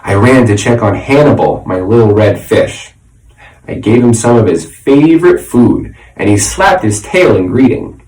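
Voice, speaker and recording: A man narrating a children's storybook in an expressive, dramatic way, like a teacher reading aloud. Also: medium pitch, normal speed. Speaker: reader, teacher. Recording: roomy echo, slightly distant mic.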